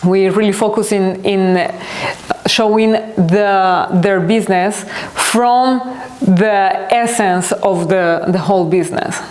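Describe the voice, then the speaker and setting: A woman's voice: medium pitch, fairly even intonation, slow pace, darkish tone. Earnest woman in a roomy space. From Colombia. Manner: earnest and reflective, searching for words. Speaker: podcast host and company founder. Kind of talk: podcast monologue answering audience questions. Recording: roomy echo.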